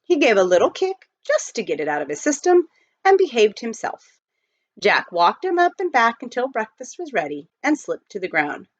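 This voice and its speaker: Dull-sounding, lively woman reading a story. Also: a little high, normal speed. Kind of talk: expressive read-aloud narration of a children's novel. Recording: dull lo-fi home recording.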